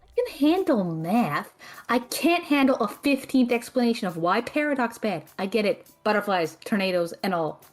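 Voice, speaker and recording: Woman doing snarky young student girl, audio drama, blunt and exasperated, really animated intonation. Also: on the high side, normal speed, faint music behind, natural voice. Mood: dry annoyance. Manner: deadpan, sarcastic, exasperated. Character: sardonic, blunt young magic student, quick to threaten friends. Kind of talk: audio drama character banter.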